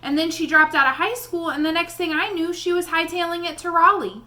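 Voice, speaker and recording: A woman's voice: very high-pitched, fairly quick, sharp tone, pitched above the performer's own voice. A woman voicing a folksy, kindly but evasive Southern woman in a storybook.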